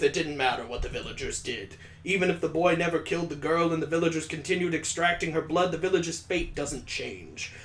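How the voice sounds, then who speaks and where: A playful man reads a visual novel aloud and breaks in with jokes as the let's play host. His voice sits a little high for a man. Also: fairly bright tone. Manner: playful, joking asides.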